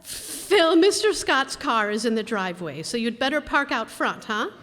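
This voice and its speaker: Lady doing a practical bossy-ish wife, old radio comedy, high voice, folksy, big hall reverb. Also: sing-song, normal speed, music in the background. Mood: brisk, coaxing. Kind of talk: old-time radio comedy acting before an audience.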